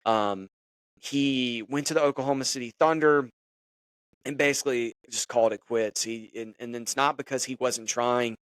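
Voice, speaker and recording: A man's voice: medium pitch, fairly even intonation, moderate pace, fairly bright tone, smooth, no room sound. A man hosting a podcast, moving from sports chat into a devotional message in a loose, conversational way with plenty of fillers. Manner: conversational, preachy, many fillers. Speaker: podcast host. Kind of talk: casual devotional podcast talk.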